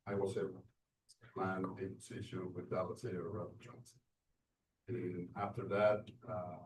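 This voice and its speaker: A man in his sixties from Mexico with a Mexican Spanish accent, giving a conference talk and speaking haltingly with many fillers and restarts. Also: lowish voice, a little mellow. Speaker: transit industry speaker. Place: event room. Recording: roomy, distant mic with faint noise.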